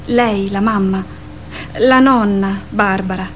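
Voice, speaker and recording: A woman playing a tender, wistful mother in a radio drama, speaking in a gentle, reflective way. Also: mid-range voice, slow pace, a little hiss or hum, close to an everyday voice. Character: wistful, tender adult mother of a young boy. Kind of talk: theatrical radio drama acting.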